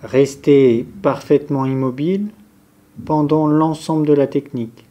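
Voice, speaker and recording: A man guiding a yoga nidra relaxation session, giving calm, measured, step-by-step instructions. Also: on the high side. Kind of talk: guided relaxation meditation, instructions spoken slowly to a group.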